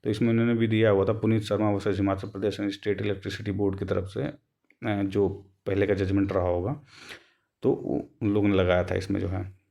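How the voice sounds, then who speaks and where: A man with a fairly low, very mellow and relaxed voice. He explains like an online host walking through a document, informal and halting, often circling back to rephrase his points. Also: vocal fry. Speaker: YouTube host explaining a court case update.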